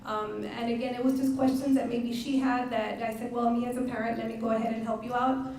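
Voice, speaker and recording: In a very echoey room, somewhat far from the mic, a middle-aged woman gives an earnest testimonial speech. She speaks as a parent and educator, stressing her points and pausing mid-phrase. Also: on the high side, flat delivery, darkish tone. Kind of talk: public speech at a press conference. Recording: very echoey room, distant mic, faint noise.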